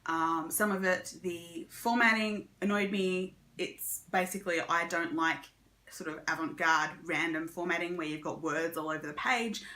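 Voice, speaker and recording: Casting: female book vlogger, bright crisp-edged delivery, chatty and opinionated, recorded in a roomy space. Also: on the low side, normal speed. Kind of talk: casual monthly reading wrap-up chat.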